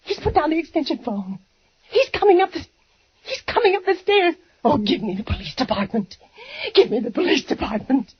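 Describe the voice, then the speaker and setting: A woman's voice: high-pitched, big pitch swings, a little fast. An actress playing a terrified woman pleading with a killer, in 1940s radio acting style, on a muffled old radio recording. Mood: panicked. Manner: screaming, pleading, panicked.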